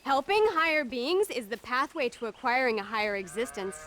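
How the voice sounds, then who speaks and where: A woman's voice: on the high side, fairly quick, fairly bright tone, a little hiss or hum, lightly acted. A woman playing a haughty, zealous cult recruiter in a video game cutscene, preaching in grandiose, solemn pronouncements. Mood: grandiose, insistent. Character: zealous, haughty female cult recruiter and self-styled queen.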